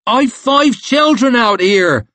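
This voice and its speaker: Desperate farmer, high strained cry, different timbre , voiced by a male narrator. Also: expressive, slow pace, fairly bright tone, dry recording, full character voice.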